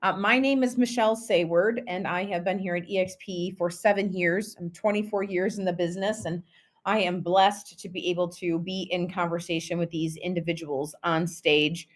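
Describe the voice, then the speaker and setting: A woman's voice: fairly low pitch, fairly even intonation, normal speed. A middle-aged woman moderating an online panel, chatting casually with lots of ums and friendly asides. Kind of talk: hosting a virtual panel.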